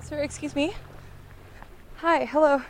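A woman's voice: high-pitched, fairly even intonation, measured pace, noisy background. A young woman making an audio documentary, politely stopping people on an outdoor beach with short friendly questions. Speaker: interviewer, documentary maker. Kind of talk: vox pop interviewing.

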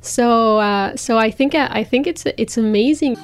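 A woman's voice: fairly high pitch, unhurried. Thoughtful woman. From France. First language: French. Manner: thoughtful, searching for words. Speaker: interviewee, teacher. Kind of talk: reflective personal interview.

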